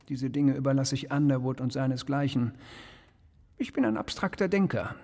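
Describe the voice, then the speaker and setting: Casting: suavely menacing professor villain, radio crime play; husky voice, condescending politeness, reverberant hall. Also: male voice, medium pitch, darkish tone. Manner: polite, pompous, measured, condescending. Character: courteous, menacing, intellectual criminal mastermind professor, thin. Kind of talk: radio crime drama acting.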